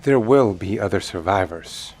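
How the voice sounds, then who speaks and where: A man's voice: deep voice, animated, normal speed, a little mellow, slightly rough. A man playing an indignant male survivor in custody who speaks in a skeptical, challenging way, in an audio drama.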